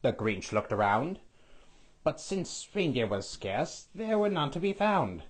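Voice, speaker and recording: A man voicing a scheming skeletal villain lord who reads a storybook aloud with a raspy, sniffly voice, stretching out repeated words. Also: mid-range voice, very animated. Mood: gloating. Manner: sing-song storybook reading, drawn-out repeated words.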